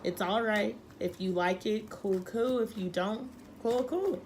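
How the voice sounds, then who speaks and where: A young woman doing a tarot reading, talking earnestly and repeating herself for emphasis, a little hoarse from being sick. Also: mid-range voice, unhurried, a little mellow, slight background noise. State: losing her voice, a little sick. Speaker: tarot reader.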